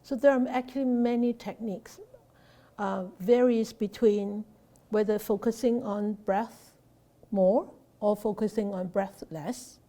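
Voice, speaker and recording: Mellow-voiced woman teaching Buddhist meditation. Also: mid-range voice, steady tone, unhurried. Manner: hesitant, many fillers and restarts; asks rhetorical questions. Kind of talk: unscripted teaching answer.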